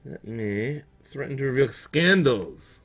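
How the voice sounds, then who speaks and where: A man's voice: mid-range voice, lively intonation, slow pace, a bit bright, breathy edge. A man hosting a gaming channel, losing his voice as he thinks aloud in casual let's-play commentary. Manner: thinking aloud, musing while playing.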